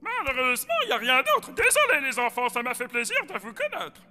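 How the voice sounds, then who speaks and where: A man's voice: extremely high pitch, wildly swooping pitch, fairly quick, pushed and bright, big-room sound, full character voice. A man playing an eccentric, scatterbrained old creature who reads ancient legends in a cartoon. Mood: apologetic. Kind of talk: cartoon dubbing.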